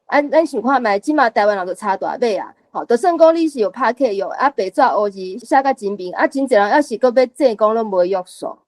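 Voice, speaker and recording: A woman's voice: a little high, animated, fairly quick, hardly any pauses, a little mellow, dry recording. A woman guest sharing her thoughts on a story, punctuating her points with short tag particles. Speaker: reading group guest. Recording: faint music behind. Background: faint music.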